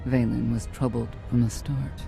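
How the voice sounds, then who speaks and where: Weary knight mother, middle-aged woman, creaky mid-pitched voice, solemn, sorrowful, game cutscene, music behind. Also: level tone. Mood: sorrowful, regretful. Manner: measured, solemn, regretful. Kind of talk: dramatic game cutscene acting.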